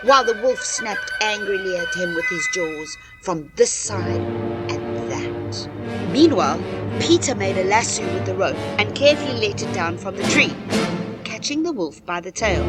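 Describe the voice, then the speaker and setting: Female storyteller, very varied intonation, medium pitch, children's story narration, dramatic, music behind.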